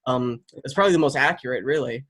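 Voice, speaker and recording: Male interviewer, medium pitch, informal and conversational, apt to chuckle mid-sentence. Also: fast talker, a bit bright. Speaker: interviewer, content creator. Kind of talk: casual interview chat.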